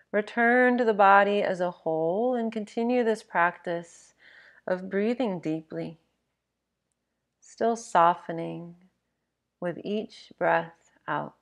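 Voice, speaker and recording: A woman narrating a guided sleep meditation in a gentle, measured, instructional manner. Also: medium pitch.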